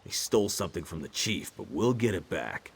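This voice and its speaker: A man playing a gruff, hostile male orc guard in a fantasy video game, speaking bluntly and menacingly in a rough voice. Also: sing-song, a little fast, heavily acted. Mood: gruff, boastful. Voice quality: husky, rough low voice.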